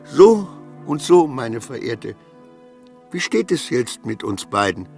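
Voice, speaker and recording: A man's voice: mid-range voice, big pitch swings, slow pace, gravelly, darkish tone, soft background music, exaggerated voice. A distraught man rambling to himself. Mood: agitated, indignant. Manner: agitated, rambling, self-questioning, formal old-fashioned phrasing. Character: distraught, obsequious man losing his grip, ranting to himself. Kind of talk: radio play monologue.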